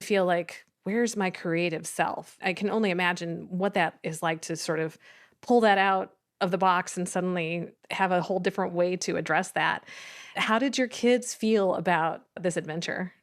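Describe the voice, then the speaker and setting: A woman's voice: on the low side, moderate pace. A woman hosting a podcast who interviews her guest in an encouraging, affirming way. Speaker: podcast host. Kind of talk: interview host chat.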